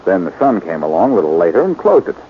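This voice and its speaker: An actor playing a quick-witted, breezy amateur detective with wry self-assurance in a 1940s radio drama. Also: male voice, low voice, big pitch swings. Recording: muffled, hissy 1940s radio recording.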